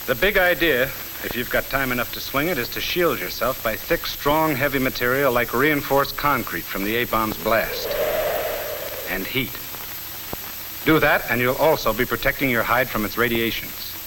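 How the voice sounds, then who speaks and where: A man's voice: mid-range voice, moderate pace. A man narrating a mid-century instructional film in a blunt, punchy, slangy style, talking straight to the listener. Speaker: military training film narrator. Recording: old film soundtrack, faint hiss.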